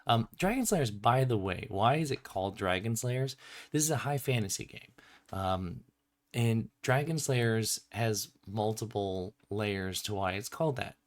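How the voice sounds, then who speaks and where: A man giving casual, unscripted commentary as a game designer on a livestream, chatty and explanatory, slightly sniffly from a cold. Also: lowish voice.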